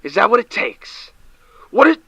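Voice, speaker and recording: A man as a frantic drunk caller, gravelly. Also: sits high, sing-song, normal speed, no echo, heavily acted. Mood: agitated. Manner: frantic, panicky, shouting and stammering. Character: distraught drinking man on the edge of breakdown. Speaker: caller to a late-night radio show. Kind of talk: audio drama acting.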